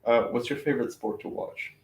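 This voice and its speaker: A man's voice: medium pitch, steady tone, brisk. A man interviewing a colleague in an easygoing, casual way, often saying "cool" between questions. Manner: easygoing, says "cool" a lot. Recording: echoey room, off-mic, faint noise.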